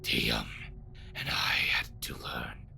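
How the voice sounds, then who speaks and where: An actor playing a bitter, courtly schemer in an audio drama, speaking theatrically in a gravelly half-whisper with formal, archaic wording. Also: slow pace, bright, forceful tone. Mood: brooding, bitter. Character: bitter, lamenting, courtly schemer bound by a bargain. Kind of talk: dramatic scripted monologue.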